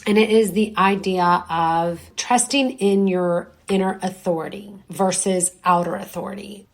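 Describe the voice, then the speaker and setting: Middle-aged woman host, hoarse and strained, lowish voice, chatty and reflective. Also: steady tone, a little slow. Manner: reflective, conversational, many fillers. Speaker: podcast host. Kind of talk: solo podcast talk.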